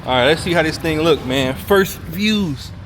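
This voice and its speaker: A man's voice: on the high side, lively intonation, moderate pace, background noise. A man chatting casually to viewers as a vlogger, in a laid-back, slangy way with short repeated phrases. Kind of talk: casual car vlog chat.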